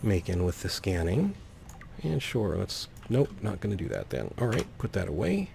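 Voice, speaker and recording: A man's voice: low voice, huge pitch swings, normal speed, gravelly, darkish tone, slight background noise. A man giving casual gameplay commentary, thinking aloud in a whimsical, musing way. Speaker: let's player, host.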